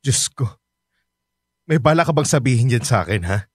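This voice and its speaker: A man's voice: medium pitch, lively intonation, normal speed, breathy edge, dry recording, clean studio sound. A man playing a stern, anguished working father in a radio drama, emotional and exasperated.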